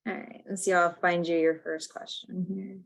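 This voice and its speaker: Woman moderator, lowish voice, brisk, reads questions over a video call. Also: little pitch movement, gravelly, rough recording. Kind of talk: moderating an online Q&A.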